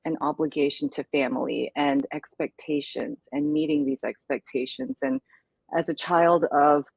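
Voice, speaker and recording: A woman's voice: deep voice, expressive, normal speed, vocal fry, darkish tone, slightly rough, dry recording. A woman speaking in an interview, earnest and reflective as she talks through her experience. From United States. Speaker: guest speaker. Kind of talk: candid interview conversation.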